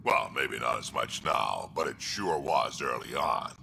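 Older alien grandfather, extremely low, gravelly, tense; faint station hum behind. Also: animated, unhurried, pushed and bright, heavily acted. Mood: gruff fondness. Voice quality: deep gravelly growl. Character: gruff older male krogan grandfather.

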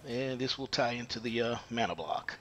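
Halting plumber with a gravelly voice. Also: male voice, medium pitch, fairly bright tone. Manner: halting, many "uh" fillers. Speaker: plumber demonstrating installation. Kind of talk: hands-on how-to explanation. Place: unfinished house under construction, indoors.

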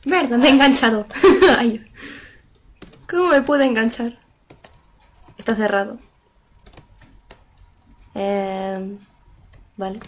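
A woman's voice: on the high side, slow, pauses often, a little hiss or hum. Giggly young woman playing a game. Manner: giggly, reads game text aloud, squeals when startled. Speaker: let's play gamer. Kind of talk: casual gameplay commentary.

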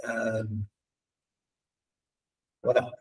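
Canadian man giving a measured, flat talk. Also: mid-range voice, husky. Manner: measured, short phrases, non-native Spanish. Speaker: professor speaking at a seminar. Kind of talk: prepared talk to students. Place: meeting room, indoors. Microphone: handheld microphone.

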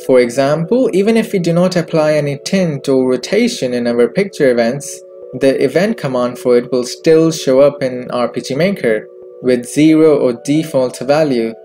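A man presenting a software tutorial in scripted narration, recorded as a close voice-over with music behind him. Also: medium pitch, normal speed. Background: background music.

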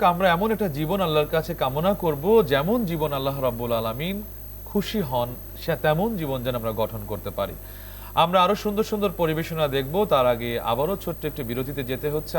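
A man's voice: a little high, a little hiss or hum. A man with a Bangladeshi accent presenting a television song programme, addressing viewers in a formal announcer manner. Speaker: TV show host.

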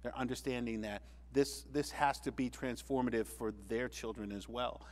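A man's voice: mid-range voice, fairly even intonation, darkish tone. Earnest man on a studio panel. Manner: earnest, measured, many restarts. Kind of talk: panel discussion.

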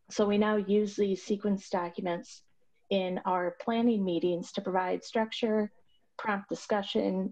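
A woman's voice: fairly low pitch, darkish tone. A woman presenting her team's project on a video call, explaining steadily with frequent ums and uhs. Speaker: award recipient presenting a project. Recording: slightly dull, lo-fi video call audio.

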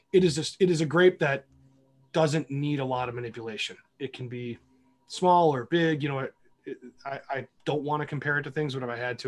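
An American man speaks as a panelist in a casual discussion. His voice is mid-range in pitch. He is opinionated but often qualifies his points as he goes. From Cleveland, United States. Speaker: panelist, wine documentary filmmaker. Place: remote virtual panel call.

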